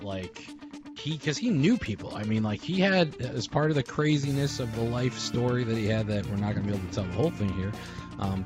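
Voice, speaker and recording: A man speaking casually in a group remembrance, telling rambling stories as a podcast host. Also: lowish voice. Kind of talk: casual reminiscing in a group memorial call. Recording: soft guitar music behind. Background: guitar music.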